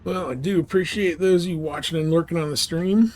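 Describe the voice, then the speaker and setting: A man's voice: a little high, a little fast, a little mellow, slight background noise. A man chatting casually and wryly while streaming a game he is playing.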